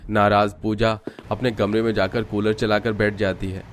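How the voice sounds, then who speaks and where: A man narrating a scripted animated family story. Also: lowish voice, moderate pace, slight background noise.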